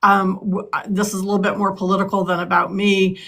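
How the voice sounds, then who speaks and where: A woman's voice: mid-range voice, flat delivery, fast, vocal fry, fairly bright tone. A woman in her sixties from California, answering interview questions earnestly, with frequent ums and restarts. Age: 60s. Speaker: political candidate.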